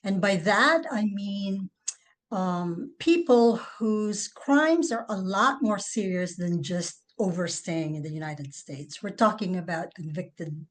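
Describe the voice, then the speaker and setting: A woman's voice: mid-range voice, animated, takes it slowly. A middle-aged or older woman from the Philippines with a Filipino accent, answering interview questions as an author. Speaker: author, podcast guest.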